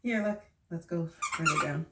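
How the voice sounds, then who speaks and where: A woman reads aloud to young children in a low voice with lively swings. She takes her time and asks encouraging questions as host. The room is echoey, with the mic at a distance and faint noise behind. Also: normal speed.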